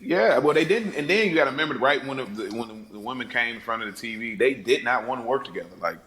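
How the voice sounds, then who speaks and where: A man's voice: medium pitch, expressive, fast talker, fairly bright tone, reverberant, low-quality recording. A man in a casual film discussion on a podcast, rambling and repeating phrases as he acts out lines. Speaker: podcast panelist.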